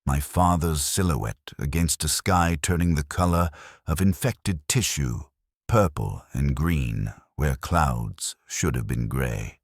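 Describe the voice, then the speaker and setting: An extremely deep-voiced man narrates a grim science fiction tale in the first person. His delivery is slow and solemn, with measured, clinical phrasing. The sound is dry and close, with no room around it. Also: dry recording. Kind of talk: scripted first-person sci-fi war story narration.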